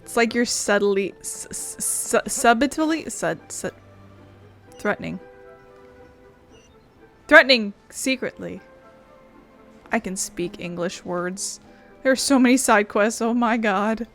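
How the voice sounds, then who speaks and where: A woman's voice: fairly high pitch, expressive, slow, long pauses, fairly bright tone. A woman giving casual gameplay commentary as a let's player, muttering and self-deprecating. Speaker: game streamer, let's player. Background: game music.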